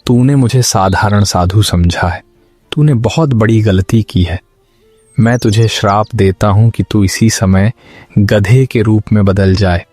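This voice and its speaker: A man voicing a stern but compassionate hermit sage in an animated moral story. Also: lowish voice, unhurried, no echo. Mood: stern, wrathful. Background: music.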